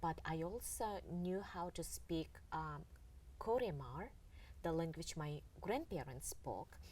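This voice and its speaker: A middle-aged woman with a low voice tells her family's history slowly and earnestly, pausing often to find her words. She sits a bit back from the microphone, and there is a faint hum of background noise. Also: animated, darkish tone, distant mic, low-quality recording. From Russia. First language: Russian. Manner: earnest, halting, with many pauses and fillers. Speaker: author and interview guest. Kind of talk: reflective storytelling in an interview.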